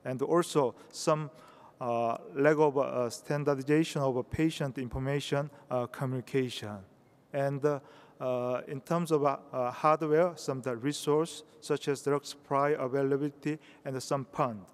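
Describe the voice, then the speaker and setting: Halting Korean-accented man in a hall. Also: mid-range voice, steady tone, clean tone. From South Korea. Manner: halting, many hesitations. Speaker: conference speaker. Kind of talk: conference presentation. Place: conference hall. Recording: reverberant hall.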